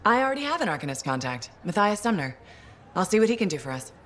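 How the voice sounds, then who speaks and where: A woman's voice: medium pitch, very animated, quick pace, a little hiss or hum, natural voice. An actress playing a capable female mercenary pilot in a video game, wry and dryly skeptical. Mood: questioning. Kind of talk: video game cutscene acting. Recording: faint background music.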